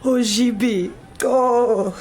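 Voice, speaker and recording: Grief-stricken young village girl, crying, high-pitched, halting slow delivery, radio drama. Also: steady tone, noisy background. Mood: distressed. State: crying, distressed. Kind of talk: emotional radio drama acting.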